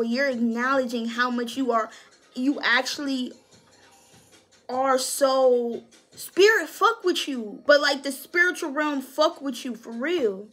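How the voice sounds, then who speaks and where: A woman giving a casual tarot reading in a high voice, swearing freely and talking with slangy emphasis. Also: fairly bright tone. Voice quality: high-pitched voice. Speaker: tarot reader.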